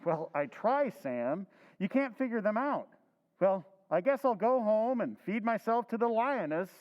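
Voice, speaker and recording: A man's voice: sits high, very animated, measured pace, in a large hall, dull audio. A man playing a henpecked husband in an old-time radio drama recreation, chatty and easily amused. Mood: weary. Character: harried henpecked husband, middle-aged man.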